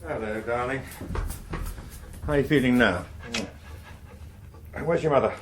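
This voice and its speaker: An actor playing a middle-aged British politician and father, measured, probing and controlled, in naturalistic radio drama. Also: mid-range voice, a little hiss or hum, natural voice. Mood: gently reassuring.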